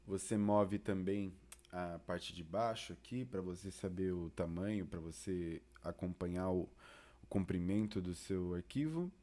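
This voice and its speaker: A man with a Brazilian accent giving an informal software tutorial, explaining casually as an instructor. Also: sits low, measured pace. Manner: casual, explanatory, uses diminutives.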